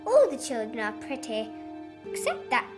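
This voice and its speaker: A woman's voice: on the high side, huge pitch swings, normal speed. A woman reading a storybook aloud in character, as narrator and critical old mother duck, over music. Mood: expressive. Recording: music behind the voice.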